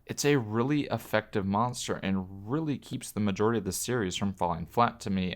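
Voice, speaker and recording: A man delivering a scripted, opinionated review as a video host, earnest and measured. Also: on the low side. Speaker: YouTube reviewer.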